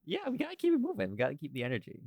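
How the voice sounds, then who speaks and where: A man's voice: a little high, wildly swooping pitch, rapid-fire, darkish tone, no room sound. A man chatting casually and expressively about his own audio work on a behind-the-scenes podcast. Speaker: audio drama creator, podcast host.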